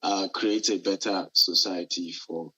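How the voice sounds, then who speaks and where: A Nigerian-accented man speaks earnestly as a guest on a live TV interview, often restarting his sentences. His voice is bright and a little husky, and it sits on the low side. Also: unhurried, poor audio. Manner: earnest, animated, frequent restarts and fillers. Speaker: entrepreneur guest. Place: Dubai.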